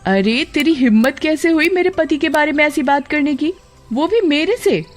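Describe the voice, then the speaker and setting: Woman acting a high-pitched, nagging cartoon wife. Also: expressive, moderate pace. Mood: angry. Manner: complaining, scolding. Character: discontented money-minded young wife, sharp-tongued and nagging. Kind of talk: Hindi cartoon story voice acting. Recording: background music.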